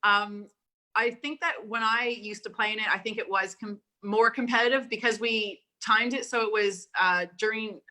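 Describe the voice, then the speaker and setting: Canadian woman coach in her 40s, bit of an aussie accent mixed in, bright voice, chatty and upbeat on a video call. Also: medium pitch, fairly even intonation, normal speed. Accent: mix of Canadian and Australian. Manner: chatty and upbeat, laughs often. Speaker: volleyball coach, guest. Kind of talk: casual interview chat.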